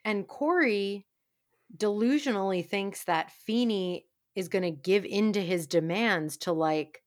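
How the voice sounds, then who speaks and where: A woman's voice: mid-range voice, no room sound, clean studio sound. A woman co-hosting a casual recap podcast, chatty and wry, with plenty of "like" fillers. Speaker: podcast co-host.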